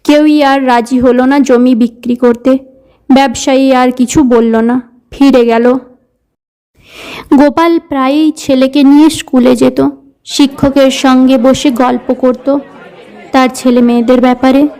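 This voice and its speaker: A woman narrates a cartoon story from a script. Her voice is high and very smooth, with a mellow, rounded tone that suits a storybook. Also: fairly even intonation, a little slow, a faint crowd, rough recording.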